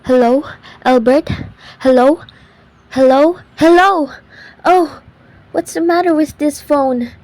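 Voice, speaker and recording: A woman's voice: high-pitched, slow pace, a little mellow, no room sound. A woman playing a nervous, demanding bedridden invalid in a melodramatic radio thriller, fretful and haughty in her indignation. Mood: frantic.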